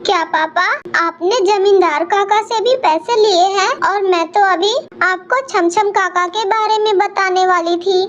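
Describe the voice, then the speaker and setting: Woman as a very high-pitched young daughter bird. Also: fairly even intonation, unhurried, few pauses, muffled recording. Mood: worried. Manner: earnest, pleading. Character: young daughter bird, studious, determined and devoted to her father. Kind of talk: Hindi cartoon voice acting.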